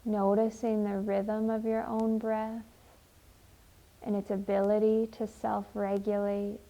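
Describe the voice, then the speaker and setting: A woman leading a guided meditation in a gentle, measured, deliberate way. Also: mid-range voice, gravelly, poor audio. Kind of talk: slow, soothing guided meditation and poem reading.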